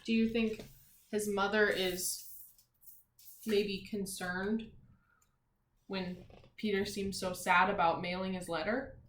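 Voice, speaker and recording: Female primary teacher for children's read-aloud, expressive delivery with gentle questioning asides, mid-range voice, roomy echo. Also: medium pitch, a little hiss or hum. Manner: expressive, emphatic when reading, softer conversational asides; encouraging, pauses to question young listeners. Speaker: teacher reading a picture book to pupils. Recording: echoey room sound.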